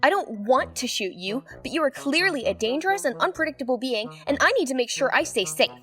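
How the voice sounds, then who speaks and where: A high, girlish fan-dub voice, performed by a woman plays an earnest and suspicious teenager. She is bossy and lectures emphatically, with faint music in the background. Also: very animated, brisk, sharp tone, heavily acted. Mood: stern, anxious.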